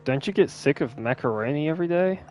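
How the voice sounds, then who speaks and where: Casual streamer reading game dialogue, mid-pitched. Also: expressive, quick pace, darkish tone, dull audio, faint music behind.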